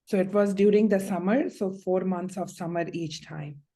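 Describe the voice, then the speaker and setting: A woman playing a visiting client in a mock hearing, answering questions in steady, explanatory sentences. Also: mid-range voice, normal speed.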